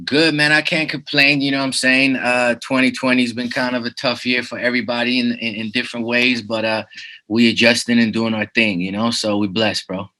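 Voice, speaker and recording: A man's voice: medium pitch, little pitch movement, brisk, sharp tone, low-quality recording. A man in his thirties who grew up in the Netherlands, a rapper talking as an interview guest in a laid-back way, full of "you know what I'm saying" fillers. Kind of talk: casual interview.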